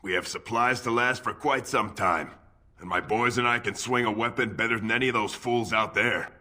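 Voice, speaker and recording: An actor playing a curt, stubborn, wary dwarf homeowner in a fantasy video game, with a rough, gravelly voice. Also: male voice, medium pitch, fairly even intonation, moderate pace, bright, forceful tone. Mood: guarded, dismissive. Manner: curt, dismissive, blunt.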